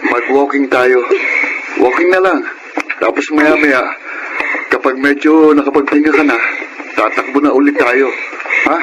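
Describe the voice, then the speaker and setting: A man's voice: medium pitch, steady tone, measured pace, pauses often, natural voice. A man acting an encouraging older brother in a Filipino radio drama, patient and instructive. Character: encouraging older brother coaching his sister's jogging. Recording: noisy radio drama recording.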